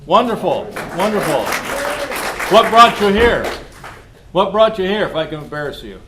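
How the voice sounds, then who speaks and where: A man hosting an informal club meeting, speaking to the room in a folksy, halting way with repeats and self-interruptions. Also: sits high, expressive, crowd noise. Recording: noisy live meeting room recording.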